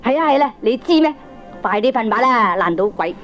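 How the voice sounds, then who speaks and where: An actress playing a sharp-tongued, indignant middle-aged wife and mother in a Cantonese comedy radio drama, with a Hong Kong accent and a nagging, scornful, combative manner. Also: fairly high pitch, expressive, fairly quick, soft background music. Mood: scornful, scolding. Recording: dull lo-fi old cassette tape.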